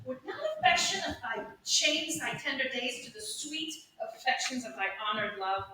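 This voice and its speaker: Woman playing a bright, high-voiced teasing young gentleman, echoey theater. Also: lively intonation, normal speed. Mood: earnest, teasing. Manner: declamatory, bantering stage delivery. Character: Valentine, teasing young gentleman bound for travel. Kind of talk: Shakespearean staged reading. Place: indoor playhouse stage, live audience. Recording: echoey theater, distant mic, noisy.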